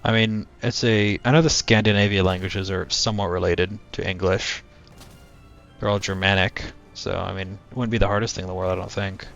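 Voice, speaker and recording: A young man streaming a flight game, chatting to his viewers in a low-key, monotone voice. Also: brisk, creaky. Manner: low-key, talks to chat while playing. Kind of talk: casual gaming stream chat. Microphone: cheap headset mic. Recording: dull headset mic with game music.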